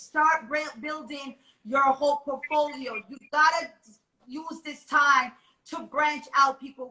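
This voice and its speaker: A woman's voice: sits high, pushed and bright. A woman hosting a casual live video chat, speaking in an emphatic, rallying way. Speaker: host. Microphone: video call. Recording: echoey room.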